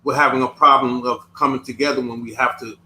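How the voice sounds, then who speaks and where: A man who was born in the United States, talking in a casual discussion, halting and often repeating his words. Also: medium pitch, flat delivery, pushed and bright. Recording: echoey room.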